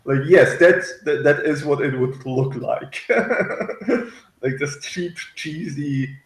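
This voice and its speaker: A man's voice: on the high side, slow pace, reverberant. A man in a casual review discussion, speaking hesitantly and often searching for his words. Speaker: guest reviewer on a fan show.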